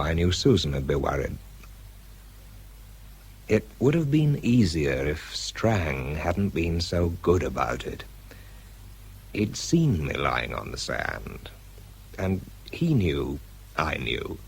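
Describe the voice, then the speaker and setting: A man's voice: very deep voice, big pitch swings, slow pace, vocal fry, pauses often, slightly rough, exaggerated voice. An actor playing an exhausted, self-doubting British bomb disposal man in a radio drama, introspective and understated in narration and dialogue. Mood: weary, reflective.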